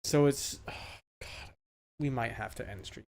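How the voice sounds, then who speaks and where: A man's voice: mid-range voice, takes it slowly, vocal fry, darkish tone. A man chatting casually on a live game stream.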